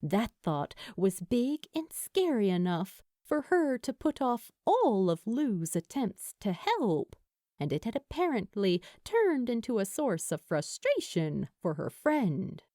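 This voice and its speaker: Expressive, unhurried woman narrating fiction. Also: fairly high pitch, no echo, studio quality. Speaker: audiobook-style fan fiction narrator. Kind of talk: scripted story narration.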